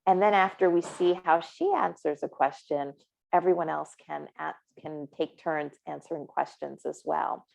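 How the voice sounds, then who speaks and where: A woman's voice: deep voice, fairly even intonation, moderate pace, gravelly, a little mellow, poor audio. A woman presenting a career workshop in an encouraging, upbeat way. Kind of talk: online career workshop talk.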